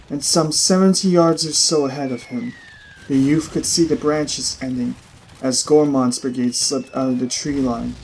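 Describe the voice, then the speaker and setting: A man reading fiction aloud as a narrator, in an expressive, dramatic storytelling style. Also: on the high side, slight background noise, low-quality recording. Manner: expressive, literary storytelling delivery.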